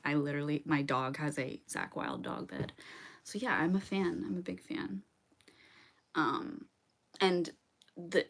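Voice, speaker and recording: A woman's voice: low-pitched, fairly bright tone. A woman singer-songwriter chatting casually with viewers during a livestream, rambling with lots of "um"s and restarts.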